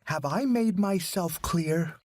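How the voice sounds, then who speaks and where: A stern headmistress from a storybook, acted by a male narrator, lays down rules formally and deliberately. The voice is raised in pitch, in a dry recording. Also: a little mellow, dry recording. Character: stern, authoritative female school principal. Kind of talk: audiobook story character.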